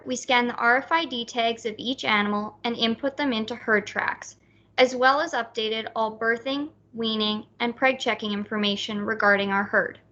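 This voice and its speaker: A young woman from Saskatchewan explains her work clearly in a student presentation. Her voice is bright and a little high. The recording is dull and lo-fi. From Saskatchewan, Canada. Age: young adult. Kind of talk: prepared class presentation.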